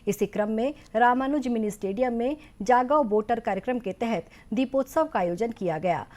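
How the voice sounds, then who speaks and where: A woman's voice: a little high, a little mellow. Woman reading a formal news bulletin. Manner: formal, measured delivery. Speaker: news presenter.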